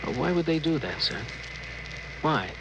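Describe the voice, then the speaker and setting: An actor playing an earnest, respectful and slightly hesitant spaceship officer in a 1950s radio drama. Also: male voice, on the high side, very animated, normal speed. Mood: uneasy, respectful. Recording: noisy vintage 1950s radio broadcast.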